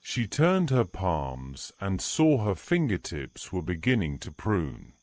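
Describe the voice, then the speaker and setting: A man reads the narration of an audio drama, slow and measured. His voice is very deep, yet it moves lively through its range. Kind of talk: scripted narration.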